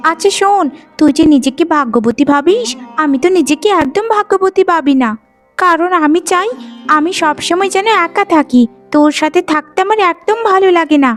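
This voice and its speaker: A woman's voice: extremely high pitch, normal speed, soft background music. A woman voicing a spoiled, spiteful young village sister in a cartoon, with a whiny, haughty, scornful manner. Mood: resentful, scornful. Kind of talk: animated folk-tale cartoon voice acting.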